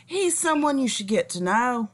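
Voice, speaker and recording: A woman playing a genial, imposing businessman father in his fifties, effusive and familiar, in an animated film table read. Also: a little high, moderate pace. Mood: affable.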